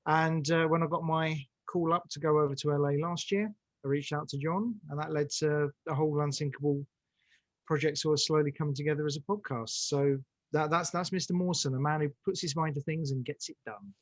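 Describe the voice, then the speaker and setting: A man's voice: a little high, fairly even intonation, fairly quick, no echo. A man telling an anecdote casually as a guest in an interview. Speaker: podcast guest, audio drama director.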